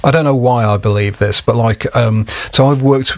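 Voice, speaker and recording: A man's voice: medium pitch, moderate pace. Rambling British man, sweary podcast chat. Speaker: podcast host.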